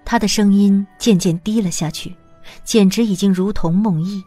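A woman's voice: mid-range voice, normal speed, dark tone, no room sound, soft background music. Woman narrating a drama, dry sound. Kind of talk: scripted story narration.

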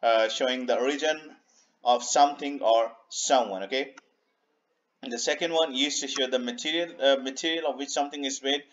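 A man explains English grammar over slides in an unhurried voice-over. He repeats points and leans on "okay" and "uh" as he goes. His voice is mid-pitched, and the audio is slightly dull and lo-fi. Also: steady tone. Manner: unhurried, repeats himself, many "okay" and "uh" fillers. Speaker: English teacher. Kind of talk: slide-based grammar lesson. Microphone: voice-over on a screen recording.

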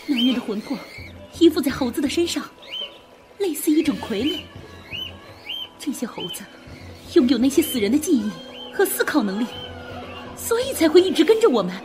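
A woman's voice: sits high, big pitch swings, moderate pace, pauses often. An actress playing a frightened young woman who tells of ghostly horrors in a Chinese audio drama. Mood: grim, alarmed. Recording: music under the voice. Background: dramatic music.

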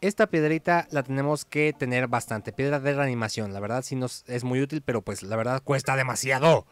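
A man's voice: mid-range voice, fairly quick, clear, resonant tone. A man giving casual, chatty commentary as he hosts a gameplay video. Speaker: gaming video host.

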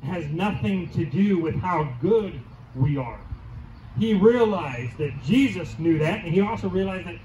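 A middle-aged pastor giving a conversational outdoor sermon, asking the crowd questions and repeating key lines, heard through a handheld mic and PA. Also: male voice, fairly high pitch, a little mellow, dull audio, slight background noise. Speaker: teaching pastor. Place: church lawn outdoors, congregation under a tent. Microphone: handheld mic through PA speakers, filmed from afar. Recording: distant, roomy outdoor PA sound.

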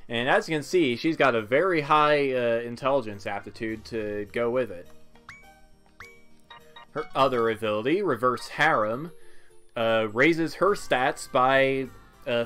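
A man's voice: medium pitch, a bit bright. A man giving casual, rambling gameplay commentary with hesitant asides, in a laid-back way. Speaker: video game player and commentator. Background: video game music.

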